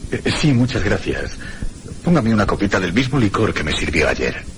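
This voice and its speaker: An actor playing a reflective gentleman who narrates a mystery in a measured, literary radio drama style. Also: sits low, sing-song, a little hiss or hum. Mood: polite, reserved. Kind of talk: scripted first-person radio drama narration.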